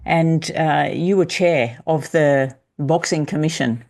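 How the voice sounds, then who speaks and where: A man hosting an interview, asking questions in a measured, polite way. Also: on the high side, gravelly, a little mellow. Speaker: interviewer, host.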